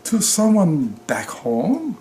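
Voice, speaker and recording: A man reading a storybook, voicing a blunt, mysterious female fortune teller with dramatic, drawn-out phrasing. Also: on the high side, huge pitch swings, a little mellow, slight background noise, pitched above the performer's own voice, full character voice. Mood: probing, coaxing.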